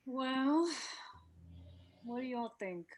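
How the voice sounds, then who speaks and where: A woman's voice: high-pitched, lively intonation, drawn-out words, darkish tone, exaggerated voice. A woman acting an edgy sixteen-year-old mean girl who leads her crew, scornful and commanding, in a scripted read. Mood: mocking, commanding.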